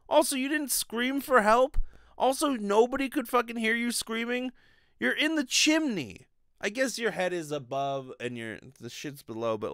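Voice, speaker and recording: A man's voice: high voice, fairly bright tone, clear, resonant tone, no room sound. Guy doing sweary comedy rant, totally incredulous, voice jumps all over, close dry mic. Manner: incredulous, ranting. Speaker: comedy show host. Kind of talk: casual comedic commentary with swearing. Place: small home bedroom. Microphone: desk microphone with pop filter, close.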